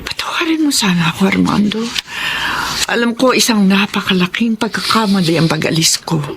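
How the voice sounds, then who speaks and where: A woman's voice: medium pitch, expressive, brisk, noisy background, lightly acted. A woman playing a middle-aged, remorseful estranged mother in a Filipino radio drama. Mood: pleading, remorseful. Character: remorseful middle-aged mother who left her family.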